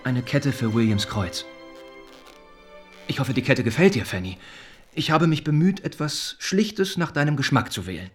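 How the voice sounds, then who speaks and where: An actor playing a kind, earnest young gentleman in a radio play, speaking gently and formally. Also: medium pitch, lively intonation, moderate pace, husky. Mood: warm, slightly awkward. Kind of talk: German radio play acting. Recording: studio radio play with music underneath.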